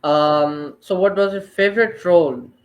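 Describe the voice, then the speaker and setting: A man hosting an interview, asking questions in a halting, affirming way over dull lo-fi audio. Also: high-pitched. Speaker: podcast host.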